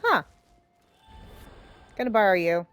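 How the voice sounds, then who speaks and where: Lady game streamer, slow casual reactions, very lively pitch, soft game music behind. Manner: muttering to herself, reactive. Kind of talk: casual let's play commentary.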